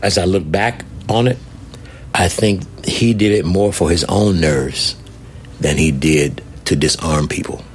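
A pastor with a low voice speaks in an easy, conversational way in his church sanctuary. Faint background noise sits behind him. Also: unhurried. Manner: conversational, recounting with quoted voices. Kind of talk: casual interview storytelling.

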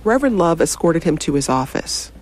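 Documentary narrator with lively intonation. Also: medium pitch, brisk, slight background noise.

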